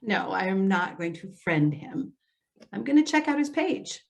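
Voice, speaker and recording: An earnest female social worker, played by an actress in a play reading. Her voice sits at a middle pitch, and she is careful, protective and insistent. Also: sing-song, unhurried, creaky. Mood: concerned. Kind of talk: readers theater stage acting.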